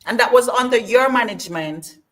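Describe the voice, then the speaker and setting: A woman hosting a podcast interview, encouraging her guest with quick affirmations and laughter. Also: on the high side, expressive, sharp tone. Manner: encouraging, laughing, frequent backchannels. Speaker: podcast host.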